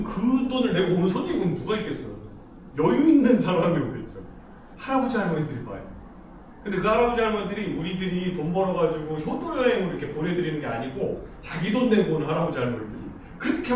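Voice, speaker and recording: A man's voice: sits high, distant mic, slight background noise. A middle-aged man from Seoul giving a casual, chatty lecture, telling stories with frequent laughs. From Seoul, South Korea. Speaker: lecture speaker. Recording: echoey hall, speaker off-mic.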